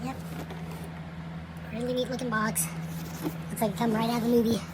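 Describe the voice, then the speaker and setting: A woman giving casual unboxing commentary as a toy reviewer, relaxed and chatty, with plenty of fillers and trailing phrases, recorded indoors at night. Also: a little high, normal speed. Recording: home recording with steady background noise.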